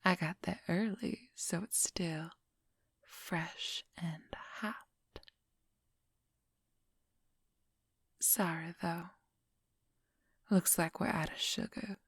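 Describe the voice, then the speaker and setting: An affectionate female werewolf, acted out by a woman in an ASMR roleplay, whispering intimately and very close. The voice is slow and breathy, with gentle, playful teasing. The sound is dry and near. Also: low-pitched, no echo, exaggerated voice. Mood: relaxed, caring. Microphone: close microphone, dry.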